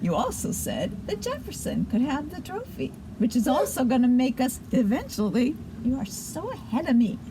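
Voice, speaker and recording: A woman's voice: high voice, wildly swooping pitch, normal speed, few pauses, background noise. A woman hosting a children's show and telling a story, with chatty asides to her listeners.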